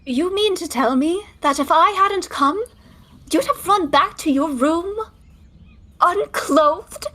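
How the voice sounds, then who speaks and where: A woman's voice: very high-pitched, takes it slowly, bright, forceful tone, exaggerated voice. A woman acting a prim, scandalized young 1920s woman in a stage comedy, scolding in exasperation.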